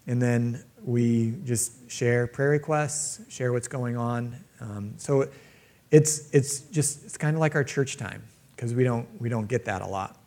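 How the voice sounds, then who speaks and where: A man's voice: medium pitch, a little mellow. A man speaking casually to a church group about mission life, plainspoken with some fillers. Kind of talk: church presentation talk.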